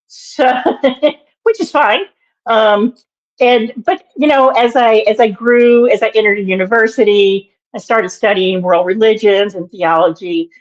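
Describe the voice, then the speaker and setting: Let a raspy older woman ramble, throat catching. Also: a little high, muffled recording. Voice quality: naturally raspy voice. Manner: meandering, halting, with many fillers and restarts. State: throat irritated by allergies, frequent throat-clearing. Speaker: guest, minister and author. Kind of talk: reflective interview storytelling.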